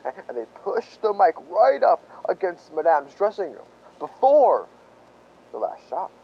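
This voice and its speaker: Radio-drama detective lieutenant, male actor: higher-pitched, animated, halting and mock-humble while slyly needling, on a dull recording. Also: creaky, breathy edge, heavily acted. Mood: needling, mock-innocent. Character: folksy, sly police lieutenant toying with suspects. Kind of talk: 1940s radio drama acting.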